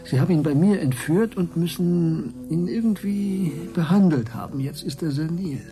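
An actor playing a dry, politely defiant private detective in a German radio drama, speaking in a hesitant, wry way. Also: male voice, a little high, moderate pace, music in the background, close to an everyday voice, low-quality recording. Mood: puzzled, earnest.

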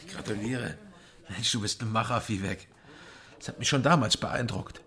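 An actor playing a wary, sober former drinker and amateur investigator in a radio drama, speaking in a dry, restrained way. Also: male voice, fairly low pitch, normal speed, sharp tone, breathy edge, full character voice. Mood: guarded. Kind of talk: radio drama acting with first-person narration.

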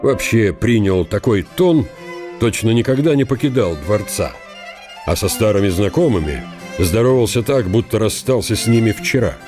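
A man's voice: lowish voice, animated, moderate pace, over music, close to an everyday voice. A man narrating a historical radio play in a dramatic literary style.

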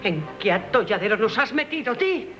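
A woman's voice: on the high side, sing-song, normal speed. An actress with a Castilian Spanish accent playing a scolding, lamenting mother in 1970s radio theatre. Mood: scornful anger. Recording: 1970s radio drama with music behind.

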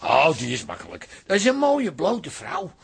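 A man's voice: a little high, wildly swooping pitch, normal speed, creaky, slightly rough, full character voice. An actor in a radio play playing a blunt, simple older man, plain-spoken and hesitant. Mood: hesitant, puzzled. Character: blunt, simple older man, naively lewd art viewer. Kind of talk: Dutch radio play acting.

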